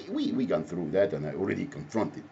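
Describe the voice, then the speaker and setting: A man from Egypt with an Egyptian Arabic accent, speaking off the cuff in a halting, repetitive, rambling monologue. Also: low-pitched, very animated, creaky, dark tone. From Alexandria, Egypt. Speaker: activist, self-described advocate. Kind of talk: impromptu spoken monologue to camera. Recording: muffled audio with faint background noise.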